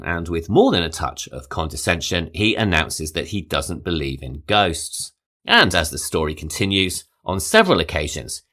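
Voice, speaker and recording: A man hosting a podcast, reading a scripted, measured narration. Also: rumbling low, sharp tone. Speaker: podcast host.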